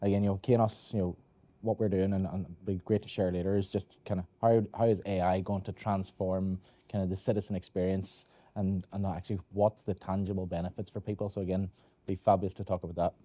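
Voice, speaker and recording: A man's voice: low voice, little pitch movement, fast talker, dark tone, no room sound. A man with a Northern Irish accent, introducing himself informally as a panelist. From Northern Ireland. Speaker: chief AI officer, panelist.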